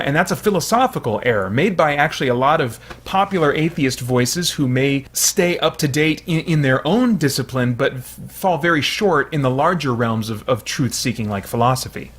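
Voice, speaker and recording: A man with a husky, mid-pitched voice gives analytical commentary. He sounds earnest and often hesitates, stumbling into restarts as he reasons. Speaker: commentator, show host.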